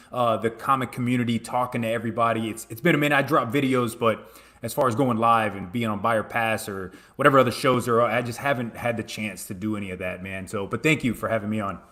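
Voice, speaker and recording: A man joining a live stream panel as a guest, excitable and joking, laughing often. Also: medium pitch. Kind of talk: casual live stream chat.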